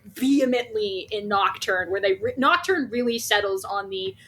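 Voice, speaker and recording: A woman co-hosting a podcast in animated casual discussion, talking emphatically and swearing freely. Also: medium pitch, normal speed. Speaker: podcast co-host.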